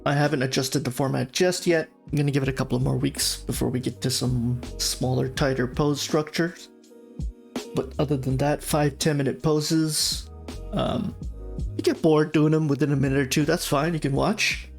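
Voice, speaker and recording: A man hosting a casual livestream art class, talking informally and haltingly, with a slightly sore throat from a cold. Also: mid-range voice, fairly even intonation, vocal fry, soft background music.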